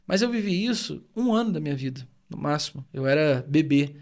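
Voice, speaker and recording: Earnest high-voiced man. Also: animated, a little fast, darkish tone. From Rio de Janeiro state, Brazil. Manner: earnest, thoughtful, explains at length. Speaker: podcast co-host. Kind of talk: reflective podcast conversation.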